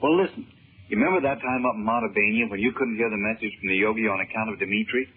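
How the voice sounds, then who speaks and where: An actor playing an eager, worried teenage son in a 1930s radio serial, boyish, earnest and slangy. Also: male voice, lowish voice, very fast, breathy edge. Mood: earnest, consoling. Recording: muffled, tinny 1930s radio recording.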